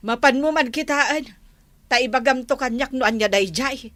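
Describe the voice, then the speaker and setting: A woman's voice: high voice, moderate pace, fairly bright tone, no echo. A woman with a Filipino accent playing a nosy, insistent villager in a comedy radio drama.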